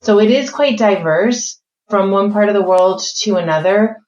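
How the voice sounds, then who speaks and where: Woman w/ a blended euro-american accent, calm and articulate, thinks out loud, muffled echoey remote audio. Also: mid-range voice. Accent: mixed French, Spanish, American and British. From born in France, raised mainly in Spain. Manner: measured, articulate, thinks aloud with fillers. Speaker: corporate learning executive, guest. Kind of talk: podcast interview.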